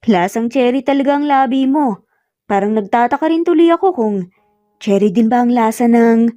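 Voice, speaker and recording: A woman's voice: a little high, moderate pace, dark tone, natural voice. A Filipino-accented woman voicing a teasing, cocky young husband in a romance story. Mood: smug, playful. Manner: smug, teasing. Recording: slightly muffled, music bed underneath.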